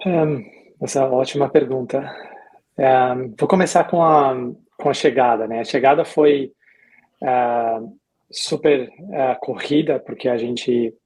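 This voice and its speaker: Middle aged brazilian guy being interviewed, bit husky, chatty, thinks as he goes. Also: mid-range voice, steady tone, a little mellow, low-quality recording. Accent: Brazilian Portuguese. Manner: reflective, hesitant with pauses and repetitions. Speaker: interview guest. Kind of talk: casual conversational storytelling.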